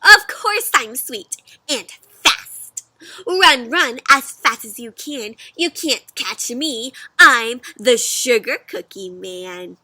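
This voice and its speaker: A woman voicing a boastful, easily upset little male sugar cookie in a children's storybook, with childlike, exclamatory delivery and drawn-out words. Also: fairly high pitch, animated, pushed and bright, dry recording, heavily acted. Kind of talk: children's storybook read-aloud.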